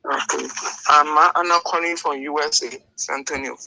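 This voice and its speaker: A woman's voice: low-pitched, steady tone, normal speed, pushed and bright, rough recording. An older woman from Liberia who grew up in Ghana, giving her earnest opinion as a phone-in caller. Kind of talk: earnest opinion on a call-in show.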